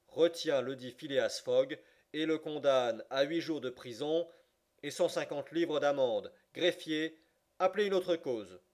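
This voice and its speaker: A man's voice: mid-range voice, darkish tone, natural voice. Man playing a stern, declamatory judge. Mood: officious. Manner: solemn, declamatory, officious. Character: stern, formal male judge pronouncing a sentence. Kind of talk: audiobook character voice.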